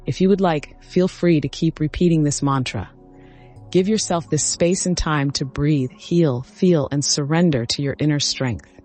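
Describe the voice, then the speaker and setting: Higher-voiced man guiding a meditation. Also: normal speed, darkish tone. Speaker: meditation guide. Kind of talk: scripted guided meditation narration. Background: background music.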